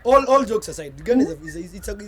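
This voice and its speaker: A man's voice: high-pitched, lively intonation, rapid-fire, fairly bright tone. A Kenyan man, a rugby fan, joking and talking with many restarts in a casual interview. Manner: joking, stumbling restarts, laughs. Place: outdoor rugby grounds.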